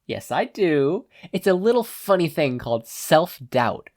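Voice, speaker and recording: A podfic reader voicing a teenage boy's wry conscience, teasing and gently lecturing him in a knowing way. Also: medium pitch, very animated, unhurried, no room sound, clean studio sound, voice pushed up.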